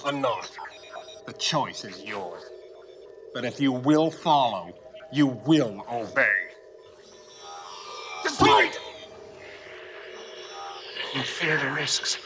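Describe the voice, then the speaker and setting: An actor playing an ambitious, commanding Klingon lord in a video game cutscene, speaking in an imperious, deliberate way. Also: male voice, medium pitch, background noise, lightly acted. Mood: stern, demanding.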